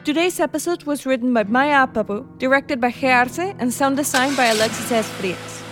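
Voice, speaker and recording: Woman reading out show credits, high voice, guitar music playing behind her. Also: normal speed. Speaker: credits announcer. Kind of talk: scripted credits narration. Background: plucked guitar music.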